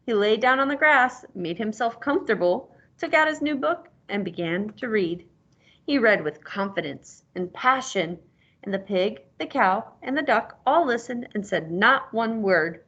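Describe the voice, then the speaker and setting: A woman's voice: fairly high pitch, expressive, moderate pace, fairly bright tone, muffled recording. A woman reading a storybook aloud like a teacher, encouraging and prompting the listener with questions.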